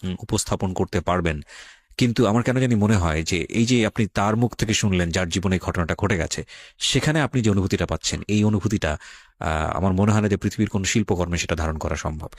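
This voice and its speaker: A man hosting a radio story show, interviewing and addressing listeners in a reflective, measured way with frequent pauses. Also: deep voice, no echo.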